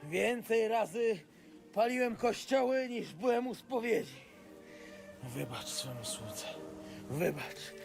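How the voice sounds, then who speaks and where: A ruthless old warlord growls a prayer in a high, gravel-rough voice. He speaks very slowly and menacingly, as music swells behind him in a game trailer. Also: animated, full character voice. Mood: grim, pleading. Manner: slow, menacing prayer that erupts into a shout. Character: brutal old male warlord praying for forgiveness. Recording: music under the voice.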